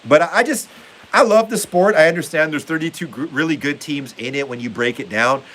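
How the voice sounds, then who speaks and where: A man's voice: on the high side, fairly quick, fairly bright tone. A young man hosting a casual live stream from his basement, reading viewer comments aloud in a rambling, upbeat way full of filler words. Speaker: livestream host reading chat. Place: home basement. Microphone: phone.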